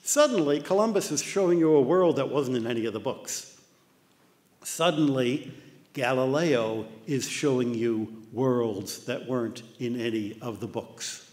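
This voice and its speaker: An older man with an American accent giving an animated illustrated lecture, often putting on mock voices. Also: medium pitch, a little slow. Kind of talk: illustrated talk. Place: dark lecture hall with projector screen. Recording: long hall reverb.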